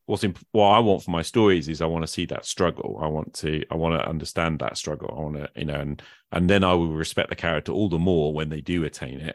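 A man's voice: a bass voice, no echo, clean studio sound. A man hosting a casual, reflective conversation, often ending his points with little questions to the listener. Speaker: podcast host. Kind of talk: casual conversation about roleplaying games.

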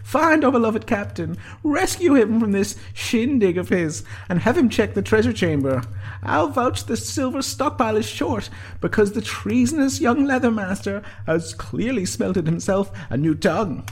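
Guy doing a sardonic woman healer in a fantasy audiobook, high pitched, confident, dry and needling. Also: very animated, moderate pace, darkish tone, breathy edge, heavily acted. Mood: mocking, amused. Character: sharp, confident female healer, shrewd and sardonic.

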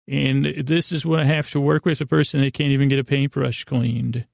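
Male bedtime podcast host, creaky gravel voice, goofy halting rambles, close dry sound. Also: medium pitch, level tone, darkish tone, dry recording. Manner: meandering, halting, goofy, self-deprecating.

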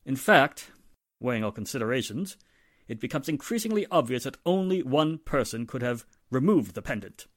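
A man's voice: medium pitch, very animated, dry recording, clean studio sound. An actor playing a haughty, formal, wealthy father in a 1940s-style radio mystery. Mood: smug, reasoning. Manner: formal, pompous, measured. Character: polished, haughty wealthy father, secretly guilty.

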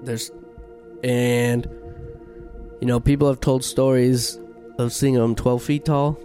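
A man's voice: mid-range voice, level tone, slow pace, mellow, faint music behind. A man hosting a podcast, explaining things earnestly and laughing easily. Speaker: podcast host. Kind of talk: casual chat.

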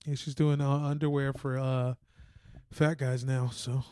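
A man's voice: medium pitch, level tone, a little slow, dark tone, a little hiss or hum. A man co-hosting a podcast, chatting casually and joking about sports. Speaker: podcast co-host. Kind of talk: casual comedic sports chat.

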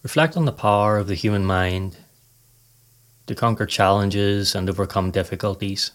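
A man narrating a scripted guided meditation in a calm, measured, soothing way. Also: lowish voice.

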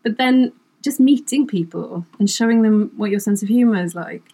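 A woman's voice: mid-range voice, normal speed, warm tone, breathy edge, rough recording. A middle-aged woman from Wales, whose first language is Welsh, chatting as a guest about her writing work, self-deprecating and laughing often. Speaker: comedy writer, guest. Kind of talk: casual interview chat.

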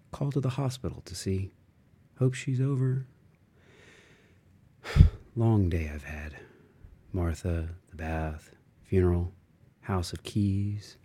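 A man reading a literary audiobook, voicing an interior monologue in musing, fragmented phrases with expressive shifts. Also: deep voice, breathy edge. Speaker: audiobook reader.

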